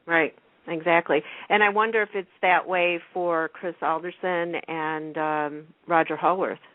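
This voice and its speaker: A woman's voice: fairly low pitch, fairly even intonation, a little slow, no echo. Woman host on a phone-like line. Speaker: radio show host. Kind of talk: casual chat. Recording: muffled narrowband telephone-like radio line.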